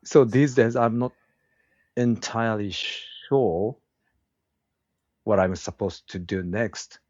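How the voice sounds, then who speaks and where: A middle-aged man with a Japanese accent and a very low voice, answering interview questions slowly and with care. He pauses often to find his English words. The sound is dry and a little dull. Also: darkish tone, dry recording. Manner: slow, halting, searches for words. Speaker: guest, woodworking craftsman and teacher. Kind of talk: casual interview conversation.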